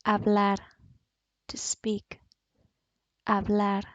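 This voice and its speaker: Slow, careful woman with a Spanish accent. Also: mid-range voice, completely flat delivery, no room sound. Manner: slow, clearly enunciated word drills. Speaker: Spanish teacher. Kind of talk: language lesson. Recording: dull, dry voice-over.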